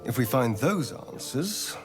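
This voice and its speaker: An actor playing a sly, sardonic vampire rogue in a video game, speaking in an arch, theatrical drawl. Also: male voice, medium pitch, sing-song, measured pace. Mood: suspicious. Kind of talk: dramatic game character acting. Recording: music behind the voice.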